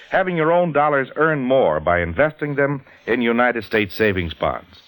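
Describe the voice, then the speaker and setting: Male old-time radio announcer, persuasive scripted pitch, medium voice, animated intonation. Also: rough recording. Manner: persuasive, measured. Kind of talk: 1940s radio public-service pitch, scripted.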